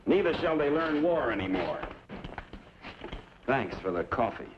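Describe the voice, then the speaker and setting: An actor playing a weary, guarded ex-soldier drifter in a western drama, speaking tersely. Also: male voice, medium pitch, sing-song, a little slow. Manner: terse, laconic. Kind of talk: old-time western drama acting. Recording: dull, clearly noisy lo-fi recording.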